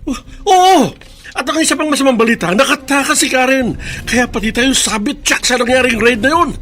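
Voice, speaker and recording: A man's voice: very high voice, brisk, sharp tone. An actor playing a panicky male crook on the run in a radio drama, frantic and urgent. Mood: alarmed.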